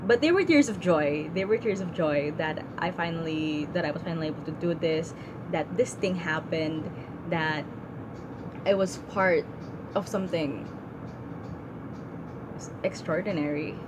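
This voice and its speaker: A woman's voice: low voice, unhurried, pauses often. A young woman vlogging in a casual, heartfelt way, with plenty of ums and likes. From Philippines. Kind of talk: casual reflective vlog. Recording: noisy room with air conditioning hum.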